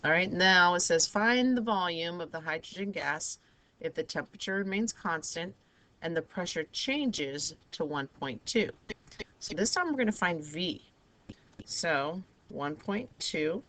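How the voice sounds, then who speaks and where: A woman teaching a math lesson with a raspy, hoarse voice, talking students through each step of the problems. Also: lowish voice, expressive, unhurried, fairly bright tone. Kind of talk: recorded math lesson over a digital whiteboard.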